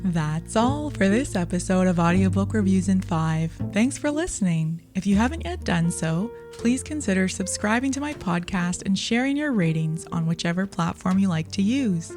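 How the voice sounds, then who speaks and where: A woman presenting a scripted podcast review in a measured, clearly phrased way as the host. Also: mid-range voice, lively intonation, darkish tone, soft background music.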